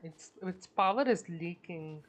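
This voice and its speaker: A woman streamer giving casual, low-key gameplay commentary, thinking aloud as she plays. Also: unhurried, fairly bright tone. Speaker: game streamer. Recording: faint game noise behind voice. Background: game sound.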